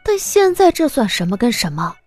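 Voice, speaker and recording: A woman narrating an audiobook drama in an expressive, scripted storytelling style. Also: on the high side, brisk, no room sound, soft background music.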